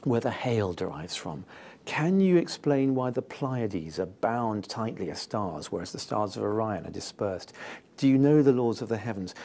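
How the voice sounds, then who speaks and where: A British man in his fifties explaining as an interview guest, enthusiastic and emphatic, with halting phrasing. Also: medium pitch, mellow. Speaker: interview guest, scientist and author. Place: indoor TV-style studio.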